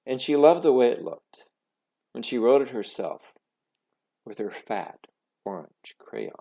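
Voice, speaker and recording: Slow, very animated man reading a storybook. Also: medium pitch, vocal fry. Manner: dramatic, deliberate, with whispers and pauses. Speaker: storybook reader. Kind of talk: expressive children's storybook narration.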